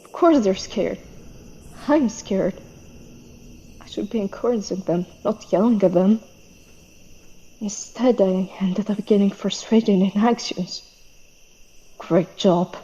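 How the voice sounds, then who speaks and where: A woman's voice: medium pitch, slow pace, long pauses, mellow, highs cut off, a little hiss or hum, lightly acted. A woman playing an anxious, driven young female leader in a webtoon fan dub, speaking with earnest, emphatic intensity. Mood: tense, subdued.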